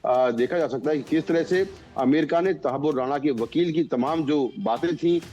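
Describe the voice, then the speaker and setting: A male news correspondent explains a story off the cuff, stopping and restarting often. His voice is mellow and dark, with faint music behind it. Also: on the high side. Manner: halting, repetitive, searching for words. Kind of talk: unscripted live news analysis.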